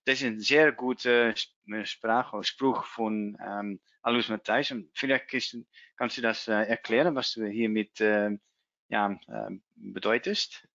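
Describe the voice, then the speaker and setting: Dutch-accented man presenting, halting, dull audio. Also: on the low side, steady tone, fairly bright tone, dry recording. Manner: halting, many fillers, searching for words. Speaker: webinar presenter. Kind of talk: presenting a trading webinar. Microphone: video call. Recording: dull lo-fi video call audio.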